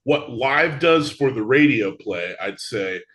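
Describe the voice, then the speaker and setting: A man speaking as an interview guest, explaining things in a measured, conversational way. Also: mid-range voice, animated, a bit bright. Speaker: interview guest, theatre show creator.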